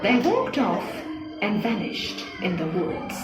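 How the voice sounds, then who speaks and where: A woman narrating a scripted children's story in an expressive, dramatic manner. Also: mid-range voice, takes it slowly. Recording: echoey with music behind.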